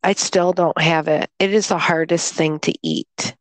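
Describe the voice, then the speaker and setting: A woman chatting casually, slowly telling a rambling story about being ill, still sounding congested. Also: lowish voice, fairly even intonation, dry recording. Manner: rambling storyteller, slow and drawn-out. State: congested, recovering from illness. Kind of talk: casual chat.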